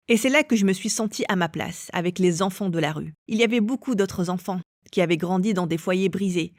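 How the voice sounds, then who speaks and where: A woman telling her own story as an interviewee, in a calm and measured way. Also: medium pitch, no echo, clean studio sound. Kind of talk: personal testimony.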